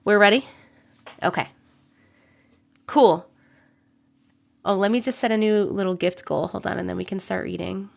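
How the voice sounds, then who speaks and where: A young adult woman live streaming, chatting with viewers and reading stories, her voice a little rough from a cold. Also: medium pitch, fairly even intonation, normal speed, long pauses. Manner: chatty, greets viewers by name between sentences. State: voice a bit rough from getting over a cold. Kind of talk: casual live stream chat and story reading.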